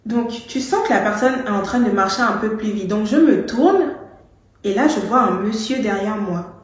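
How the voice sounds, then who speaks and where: A woman's voice: mid-range voice, moderate pace. Young woman from Gabon telling a storytime, chatty and full of fillers, in a very echoey room. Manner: chatty, with fillers and some English words. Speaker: vlogger. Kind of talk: casual storytime.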